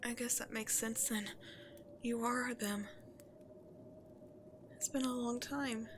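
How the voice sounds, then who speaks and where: A woman playing a remorseful, self-loathing cursed princess turned beast in an ASMR roleplay, halting and confessional, often hushed to near-whispers. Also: a little high, fairly even intonation, noisy background. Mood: resigned, somber. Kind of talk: dramatic ASMR roleplay monologue to the listener.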